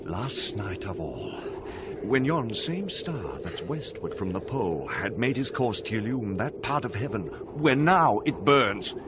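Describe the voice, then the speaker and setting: Brisk British sentinel, very animated. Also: male voice, lowish voice. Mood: welcoming, earnest. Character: brisk, insistent male castle sentinel. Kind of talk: Shakespearean radio drama acting. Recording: noisy 1990s radio drama with ambience.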